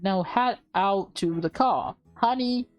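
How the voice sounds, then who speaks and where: A woman's voice: medium pitch, slow, no echo, highs cut off, faint music behind, natural voice. A woman voicing a doting, teasing mother in a visual novel, cooing fondly to a child. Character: doting, teasing mother talking to small daughter.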